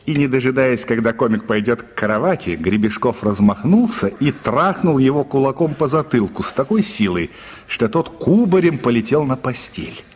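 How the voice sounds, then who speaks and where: A man's voice: mid-range voice, big pitch swings, moderate pace, slight background noise. A man narrating a literary short story for a radio play in a dramatic storytelling style.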